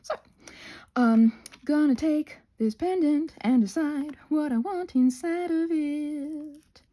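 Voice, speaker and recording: A woman hosting a casual craft tutorial, thinking aloud in a scattered, self-interrupting way with playful, expressive exclamations. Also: sits high, slow pace. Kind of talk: rambling casual craft narration.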